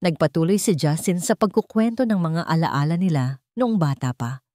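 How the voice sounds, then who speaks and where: Woman narrator doing a romance drama story, low voice, really dry close sound. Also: animated, normal speed, dark tone, no echo.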